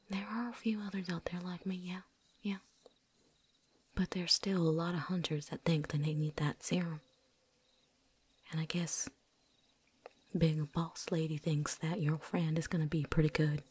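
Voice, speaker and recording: A world-weary female vampire hunter, acted by a woman for an ASMR roleplay, speaks in a breathy, husky whisper. The voice sits fairly low. The manner is blunt and loyal, with a folksy put-on drawl and dropped word endings. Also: a little mellow. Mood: cocky.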